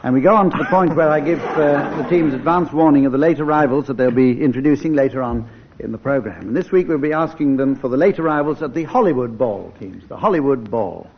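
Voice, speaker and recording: An English man chairs a radio comedy panel game in a mid-pitched voice. His delivery is dry and mock-formal. The old radio recording is dull, with clear noise behind him. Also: fairly quick. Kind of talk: hosting a radio panel game before an audience.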